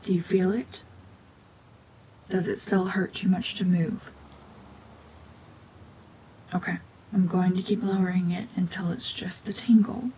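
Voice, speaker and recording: A woman acting a cold, domineering mutant witch in an ASMR roleplay drama, hushed and often whispering, with terse, guarded commands. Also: on the low side, fairly even intonation, a little slow, pauses often, a little hiss or hum, poor audio. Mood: reassuring. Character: renegade mutant cyborg gorgon witch, female, cold, domineering, slowly softening.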